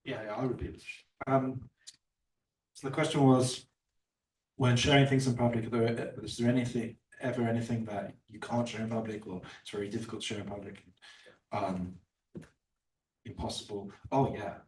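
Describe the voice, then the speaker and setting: A man's voice: medium pitch, steady tone, moderate pace, darkish tone, slight background noise. A man, an author, talking casually about his book at a bookstore event, rambling with many fillers and restarts. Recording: roomy, distant from the mic.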